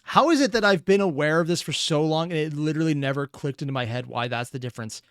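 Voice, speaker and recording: A man's voice: on the high side, fast talker, dry recording. A man giving casual, analytical reaction commentary, musing aloud as he thinks through what he watches. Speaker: reaction video host.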